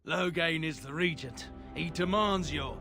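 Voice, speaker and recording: An actor playing a hard, authoritative soldier who enforces orders in a fantasy video game. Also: male voice, fairly high pitch, measured pace, vocal fry, music in the background. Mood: commanding. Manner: authoritative, demanding.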